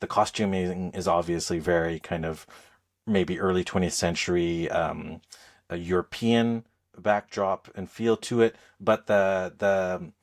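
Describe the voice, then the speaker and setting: A man's voice: deep voice, fairly even intonation, measured pace. A man hosting a podcast in casual chat, phrasing his thoughts in a hesitant, thoughtful way. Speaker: podcast host.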